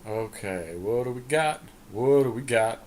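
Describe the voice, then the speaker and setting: A man's voice: medium pitch, expressive, measured pace, a little mellow. A man co-hosting a podcast, casually thinking aloud as he comments on a card game draft. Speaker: podcast co-host. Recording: clearly noisy background.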